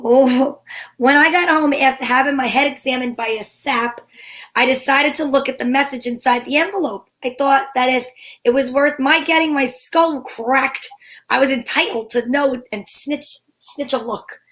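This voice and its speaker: Female gumshoe actress, high voice, cheeky and wisecracking, slangy noir delivery for vintage-style radio detective drama. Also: moderate pace, fairly bright tone. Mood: explaining, slangy. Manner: wry, slangy hardboiled delivery. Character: wisecracking female private eye, cheeky and flirtatious, narrating her case.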